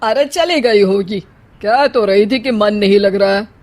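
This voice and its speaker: A woman's voice: medium pitch, a little slow, darkish tone, natural voice. A woman voicing a middle-aged sister-in-law who is sarcastic, suspicious and grudging in a cartoon story. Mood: suspicious, accusing. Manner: sarcastic, needling, exclamatory.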